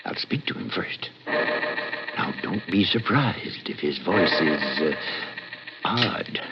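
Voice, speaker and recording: An actor playing a nervous, secretive old professor in 1940s radio drama, speaking in a fussy, halting, defensive way. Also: male voice, deep voice, animated, over loud music, full character voice. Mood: anxious, soothing. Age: older. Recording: muffled old radio broadcast with hiss.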